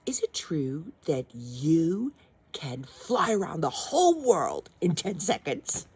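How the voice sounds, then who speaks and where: A clever, spirited young princess from a storybook, sweet-talking with a sly edge, as a woman reads her. Her husky voice drawls each word slowly and leaps about in pitch. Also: sing-song, a little mellow, heavily acted. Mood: flattering.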